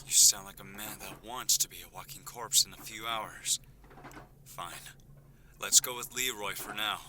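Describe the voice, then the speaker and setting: A stern male syndicate henchman in an audio drama. His voice is on the low side and cuts very sharply, with curt, condescending menace over faint background noise. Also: a little slow, pushed and bright. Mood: irritated, threatening.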